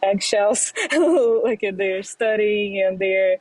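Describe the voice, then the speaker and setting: A young adult woman from Brazil with a Brazilian Portuguese accent, speaking English as a podcast guest, hesitant with many fillers and quick laughs. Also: medium pitch, darkish tone, no room sound, rough recording. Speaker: fintech CEO guest. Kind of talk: podcast interview. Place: remote, not in studio.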